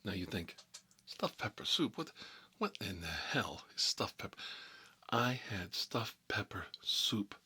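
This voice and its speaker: A man's voice: lowish voice, animated, bright, forceful tone, slightly rough, exaggerated voice. A man playing a boastful Italian-American mob boss in an improvised ASMR role-play, rambling and muttering between bites of food. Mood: boastful, nostalgic. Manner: rambling, halting, muttering, talking with food in his mouth. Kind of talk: improvised ASMR character role-play, eating while talking.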